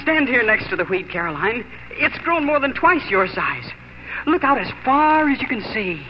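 Optimistic young american farmer husband, really high animated voice, earnest, muffled old radio drama. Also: male voice, moderate pace. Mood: elated. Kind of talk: 1940s radio acting. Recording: muffled 1940s radio recording with music.

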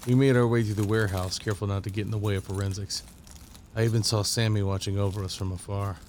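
Wry male federal agent played by a man, lowish voice, understated fiction-podcast narration over noticeable noise. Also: fairly even intonation, fast talker, a little mellow, natural voice. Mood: measured narration. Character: male counterterrorism agent Blake, wry first-person narrator. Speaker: narrator and lead actor. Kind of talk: scripted audio drama narration.

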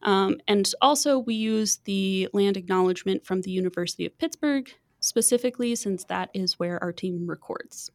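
A woman podcast co-host, reading a prepared introduction clearly and asking interview questions. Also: medium pitch, moderate pace, hardly any pauses, no echo.

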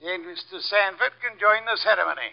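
An actor playing an imperious, shrewd detective in a 1950s radio drama, speaking formally and deliberately with precise diction. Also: male voice, high voice, vocal fry. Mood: dry, formal. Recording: dull lo-fi old radio recording.

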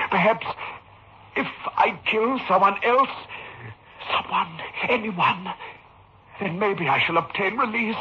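A desperate doctor and husband in an old radio horror play. He speaks slowly and haltingly, with wide, agonized swings of pitch. The recording is muffled and a little noisy. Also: pushed and bright, full character voice. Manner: halting, agonized, melodramatic monologue. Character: tormented doctor husband, desperate, tempted to murder. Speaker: radio drama actor. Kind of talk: 1960s radio horror acting.

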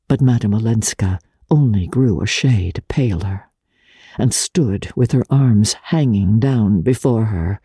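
A woman narrating a classic novel in a measured, deliberate and expressive way, with a low voice. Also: breathy edge, dry recording, studio quality. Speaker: audiobook narrator. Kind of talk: dramatic literary reading.

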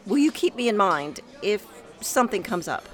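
A woman's voice: medium pitch, sing-song, moderate pace, faint music behind, lightly acted. A woman playing an earnest, easily wounded young graduate in an audio drama. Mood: hopeful, dismayed. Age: young adult. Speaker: actor.

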